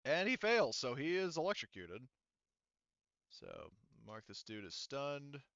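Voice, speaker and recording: A man running an online tabletop game as game master, explaining rules haltingly as he thinks aloud. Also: medium pitch, wildly swooping pitch, creaky, fairly bright tone, dry recording, dull audio.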